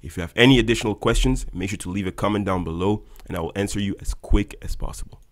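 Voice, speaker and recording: A man's voice: on the low side, fast talker. A young man, an airline pilot, narrating a scripted voice-over in an upbeat, explanatory way to his viewers. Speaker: airline pilot and video host. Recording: voice-over with loud background music.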